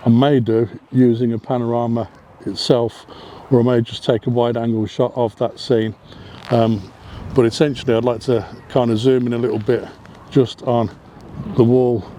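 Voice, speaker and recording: A man's voice: mid-range voice, warm tone, slight background noise. A man narrating a casual photography vlog while walking on a hillside path, chatty, with frequent ums and self-corrections. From not Welsh. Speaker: photography vlogger. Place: outdoors on a hillside path above a seaside town.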